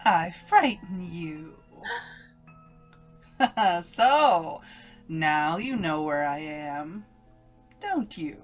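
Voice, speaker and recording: Evil inner voice in old radio drama, bossy and taunting, slow, kinda low. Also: female voice, big pitch swings, soft background music, exaggerated voice. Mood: eerie, taunting. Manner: menacing, taunting, forceful commanding delivery. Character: evil inner voice, a domineering female alter ego. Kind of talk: old-time radio melodrama acting.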